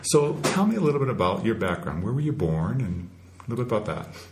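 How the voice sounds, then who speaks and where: A man's voice: fairly low pitch, very animated, fast, noisy background. A man hosting an informal podcast interview, speaking in simple, conversational phrases.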